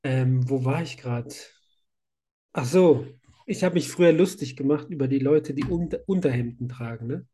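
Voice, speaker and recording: A man's voice: mid-range voice, normal speed, dark tone, breathy edge. A man in his thirties with a cold, hosting a casual podcast and rambling along cheerfully with frequent laughs. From Germany. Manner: chatty, laughs often, rambling. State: has a cold. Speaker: podcast host, site manager.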